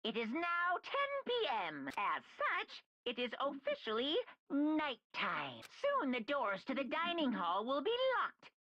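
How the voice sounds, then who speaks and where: Woman doing a slow, squeaky cartoon mascot bear. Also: wildly swooping pitch, sharp tone, lo-fi sound, exaggerated voice. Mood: mock sweet.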